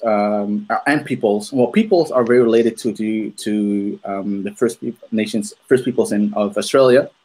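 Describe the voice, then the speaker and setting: A man in his thirties from Maluku, hosting a podcast over a video call, telling his story at an unhurried pace with many ums and restarts. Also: lowish voice, a little mellow. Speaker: podcast host. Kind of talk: casual conversational storytelling. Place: room at home, on a video call. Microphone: earphones with a desk microphone.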